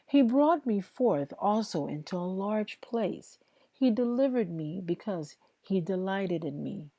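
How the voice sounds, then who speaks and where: Female scripture reader, very unhurried and emphatic, lowish pitch, mellow tone. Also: dry recording. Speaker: host reading scripture aloud. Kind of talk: slow devotional Bible reading aloud, with informal asides to listeners.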